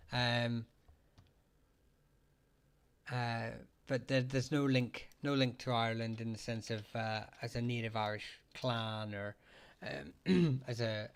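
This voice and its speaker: A man's voice: medium pitch, clear, resonant tone. Hesitant man hosting a live chat. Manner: halting, many fillers and restarts, thanks viewers for gifts. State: getting hoarse, clears throat often. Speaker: livestream host answering surname questions. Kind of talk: casual live-chat talk.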